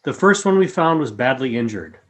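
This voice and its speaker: A man playing a calm, grave alien creature in a radio play, with a formal, measured way of speaking. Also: medium pitch. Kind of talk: fan radio play acting.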